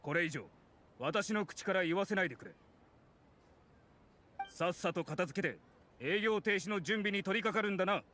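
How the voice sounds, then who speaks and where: A man's voice: high voice, fast talker, pauses often, pushed and bright, a little hiss or hum. A man acting a cold, domineering official in a Japanese anime game, curt and condescending. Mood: contemptuous.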